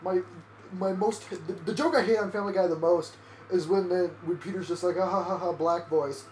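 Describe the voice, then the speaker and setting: A man's voice: high-pitched, steady tone, fairly quick, darkish tone. A young man co-hosting a game let's play, ranting and poking fun at himself. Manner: self-mocking, ranting. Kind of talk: casual gaming chat. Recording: echoey room, faint hiss.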